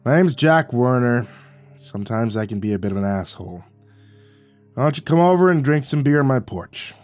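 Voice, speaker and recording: A man voicing a gruff, bitter old male war veteran neighbor who talks bluntly and crudely, in a storybook reading. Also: mid-range voice, animated, moderate pace, a little mellow, no echo, faint music behind, natural voice. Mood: gruff, bossy.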